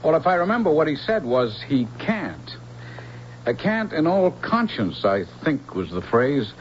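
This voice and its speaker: A mild-mannered humanoid robot in a radio play. He speaks with polite, halting deference in a lively, slightly high male voice. The old broadcast sound is narrow and clearly noisy. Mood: polite, earnest. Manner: hesitant, deferential, guileless. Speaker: actor in a radio drama. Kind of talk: 1970s radio drama acting.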